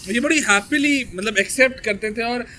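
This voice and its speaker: A man's voice: extremely high pitch, brisk, bright, forceful tone, a little hiss or hum. A man hosting a casual talk, telling things conversationally with hesitant phrasing and some English mixed in. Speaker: host of a poetry talk show.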